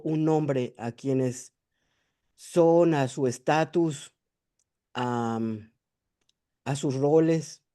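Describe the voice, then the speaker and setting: Casting: student, man with a fairly high, warm voice, halting and groping for words. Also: smooth. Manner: hesitant, searching for words. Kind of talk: class discussion.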